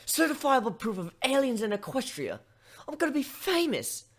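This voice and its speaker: A man puts on an eager female cartoon pony, inquisitive and chatty. The voice is pitched well up, with very lively, excitable swings. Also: moderate pace, full character voice. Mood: excited.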